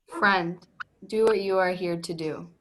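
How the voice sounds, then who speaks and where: A woman reading the part of Jesus, a solemn, resolute teacher, earnest and measured, in a dramatic scripture reading. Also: lowish voice, a bit bright, a little hiss or hum, low-quality recording. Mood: firm, solemn. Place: remote recording on a video call. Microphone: video call.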